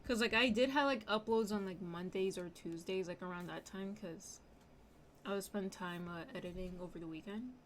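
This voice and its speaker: A woman's voice: mid-range voice, moderate pace. Scratchy-voiced young woman streamer. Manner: rambling, many fillers. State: hoarse. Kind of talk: casual stream chat. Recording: music playing underneath.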